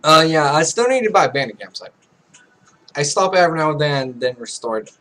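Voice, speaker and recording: A man's voice: on the high side, animated, a little fast, pushed and bright. A man hosting a let's play, casually reading visual novel text aloud and commenting, often stumbling over the words.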